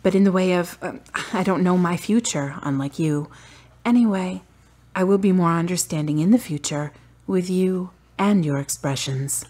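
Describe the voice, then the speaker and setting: A woman acts out a grieving, strong-willed daughter for a radio play. Her voice sits low and has a husky grain. She speaks with feeling and stands her ground. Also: normal speed, dark tone, lightly acted. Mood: earnest. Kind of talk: radio drama acting.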